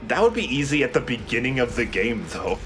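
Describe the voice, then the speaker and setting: A man's voice: mid-range voice, big pitch swings, brisk, a bit bright, soft background music. A young man giving casual, explanatory video game commentary with some swearing. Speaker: let's play host and player.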